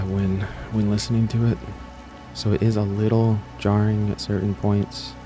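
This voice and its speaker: A man introducing his own audio story to viewers in a casual, rambling, offhand way, repeating himself and trailing off. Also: lowish voice. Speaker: creator and host of an audiobook channel.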